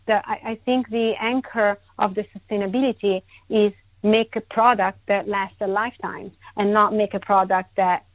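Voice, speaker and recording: A woman from Italy, a guest in a conversational interview, emphatic and quick to repeat her agreement. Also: mid-range voice, fairly even intonation, dry recording. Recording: tinny phone-line sound, dry.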